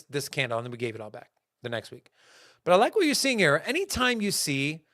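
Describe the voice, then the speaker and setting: A young man hosting a casual crypto market show, talking in animated bursts with fillers and restarts. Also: fairly high pitch, clean tone, no room sound, clean studio sound. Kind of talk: casual market commentary to a live chat.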